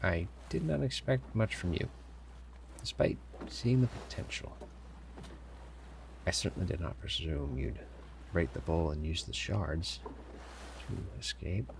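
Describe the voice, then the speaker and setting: A man playing a sly, commanding pirate captain in an audio roleplay, smugly amused and theatrical, with deliberate, formal phrasing, teasing pauses and a gravelly voice. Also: low-pitched, animated, slight background noise, exaggerated voice. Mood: measured approval. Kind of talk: scripted immersive audio roleplay addressed to listener.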